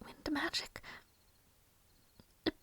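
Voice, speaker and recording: A woman voicing a gentle, anxious, lovelorn young maid in an intimate fantasy audio roleplay, speaking softly and breathily with hesitant, old-fashioned formal phrasing. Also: drawn-out words, dry recording. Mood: uneasy, nervous. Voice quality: soft, breathy, often near whisper.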